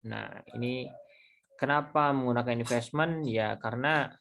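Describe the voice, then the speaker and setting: Man explaining step by step, haltingly. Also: medium pitch, creaky, fairly bright tone, a little hiss or hum. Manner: informal, halting, step-by-step explaining with self-posed questions. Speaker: accounting lecturer. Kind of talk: explanatory screen tutorial lecture.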